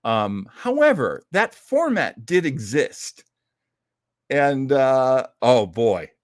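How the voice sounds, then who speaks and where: A man's voice: medium pitch, sing-song, takes it slowly, dry recording. A man hosts a livestream about vintage radio in a loose, conversational way, given to theatrical, joking asides. His mid-range voice moves up and down a great deal. The sound is dry, with very little room around it. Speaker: host of an online old-time radio show. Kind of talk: casual rambling livestream chat.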